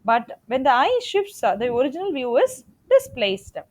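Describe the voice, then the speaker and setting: Female lecturer, high register, very animated intonation. Explanatory classroom delivery, emphatic, repeats key points. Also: normal speed. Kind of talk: explanatory lecture on media production.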